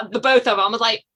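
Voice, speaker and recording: A woman's voice: a little high, a bit bright, slightly rough. An American woman hosting a podcast, chatting casually with a guest as a fellow writer. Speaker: podcast host and novelist. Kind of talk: casual interview chat.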